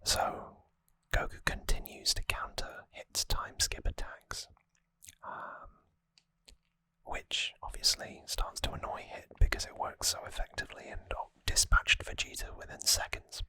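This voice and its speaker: Slow, crisp ASMR whisper, very close. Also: no echo. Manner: hushed, unhurried, explanatory. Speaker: ASMR host. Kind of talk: whispered ASMR trivia recap. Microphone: close microphone.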